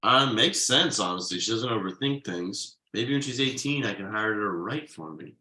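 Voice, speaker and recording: A man playing an exasperated, uptight workaholic father with halting, sardonic delivery in a comedy table read. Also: lowish voice, expressive, sharp tone. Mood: grudgingly impressed.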